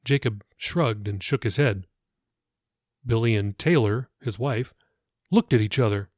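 A man's voice: lowish voice, unhurried, a little mellow, dry recording. Man narrating a novel, very dry sound. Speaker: author reading own audiobook. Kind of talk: scripted fiction narration.

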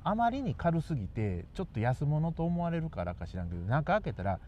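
A man's voice: on the high side, very animated, a little fast, warm tone. A man with a Kansai Japanese accent chatting casually and comically as a radio co-host, mixing polite speech with dialect. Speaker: radio talk show co-host. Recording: dull lo-fi recording with faint noise.

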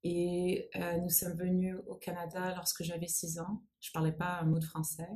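A woman's voice: fairly low pitch, completely flat delivery, moderate pace, mellow. Anglophone woman speaking French thoughtfully. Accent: English-speaking accent in French. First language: English. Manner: thoughtful, searching for words, mixing in English. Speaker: guest, nonprofit founder. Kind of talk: interview conversation.

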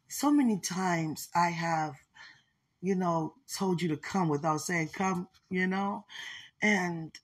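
Husky, low-voiced woman talking intimately. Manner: speaks intimately, as if to a loved one. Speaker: podcast host. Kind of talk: informal, rambling faith talk.

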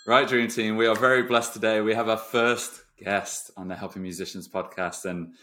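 Male podcast host, lowish voice. Informal, jokey, generous with praise in relaxed interview chat. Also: moderate pace.

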